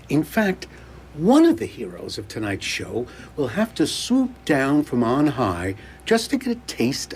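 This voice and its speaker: A man's voice: fairly high pitch, a little mellow. A man giving a scripted, theatrical introduction to a radio play with dramatic, teasing showmanship. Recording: clear background noise and ambience.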